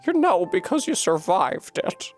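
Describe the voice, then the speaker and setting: A man voicing a vulgar, fierce tiny old grandmother who talks gruffly and coarsely. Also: sits high, very animated, measured pace, soft background music, pitched above the performer's own voice. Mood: stern.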